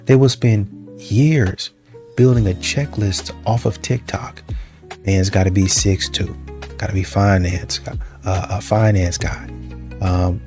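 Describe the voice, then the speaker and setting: A man with a fairly low voice talks through his opinions as a show host. He is casual and halting, circling back over his words, with music faintly underneath. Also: warm tone. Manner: halting, repeats himself.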